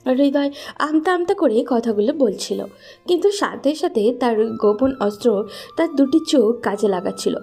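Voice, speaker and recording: Female narrator for romantic fiction, mellow, fairly high voice, scripted read over faint music. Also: expressive, moderate pace, creaky, breathy edge. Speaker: story narrator.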